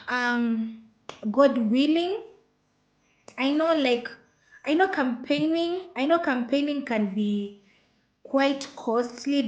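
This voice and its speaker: A woman's voice: a little high, poor audio. A woman from Kenya with a Kenyan accent, chatting casually with viewers on her live stream and often repeating herself. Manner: slow, repeats phrases, reads out viewer comments. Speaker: livestream host.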